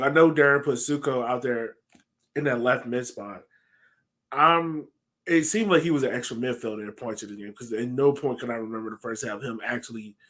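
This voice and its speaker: A man's voice: medium pitch, fairly bright tone. A man in his thirties talking casually through sports analysis as a podcast co-host on a video call from a room at home.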